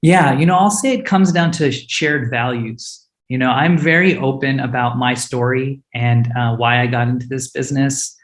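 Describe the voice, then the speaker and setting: A man's voice: mid-range voice, moderate pace, few pauses, roomy sound. A man, a startup founder with Hindi as his first language, answering panel questions earnestly and openly, with frequent fillers and restarts.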